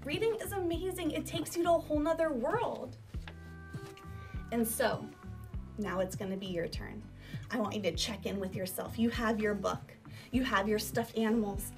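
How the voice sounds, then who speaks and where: Female primary teacher, high voice, emphatic instructional delivery for children, music clearly behind her. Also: moderate pace. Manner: emphatic, animated teacher delivery with rhetorical questions. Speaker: elementary school teacher. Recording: distant microphone, music behind.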